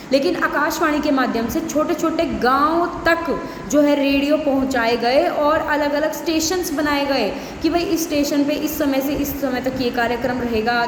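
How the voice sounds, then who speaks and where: A woman teacher with a distinctly high voice. She reads her notes and then explains them again, often checking that listeners follow. The recording rings with long room reverb and has some hiss behind it. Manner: explanatory, with frequent check-in tags and restatements. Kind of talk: educational lecture, reading notes and explaining them.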